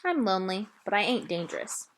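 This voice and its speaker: A shy, lonely pet-store clerk in a storybook, acted out by a woman. He is a gentle man who talks in a halting, plain, folksy way, with a lively range of pitch. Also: slight background noise, heavily acted. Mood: subdued, confessing.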